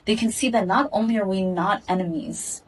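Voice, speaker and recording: A woman giving an earnest, measured direct-to-camera statement, recorded in Israel. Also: mid-range voice, recorded from a distance, a little hiss or hum, poor audio. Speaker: activist speaking in a video clip.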